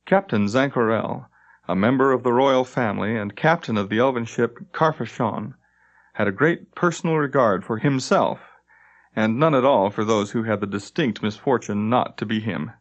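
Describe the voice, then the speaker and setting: A man's voice: mid-range voice, normal speed, dull audio. A man reading scripted fantasy narration as an audiobook narrator.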